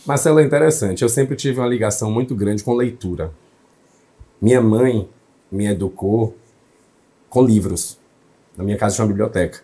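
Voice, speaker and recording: Young man, mellow storytelling voice. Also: on the low side, moderate pace, pauses often. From Salvador, Bahia, Brazil. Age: young adult. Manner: reflective, fluent storytelling. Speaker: journalist guest. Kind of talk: interview conversation.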